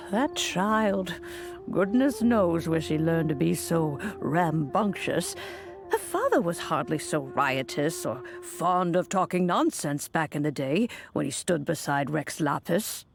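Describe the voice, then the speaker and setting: A woman voicing an old immortal granny in an anime game, fond and gently scolding in a measured way. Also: fairly low pitch, sing-song, vocal fry, music in the background, heavily acted. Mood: fondly scolding.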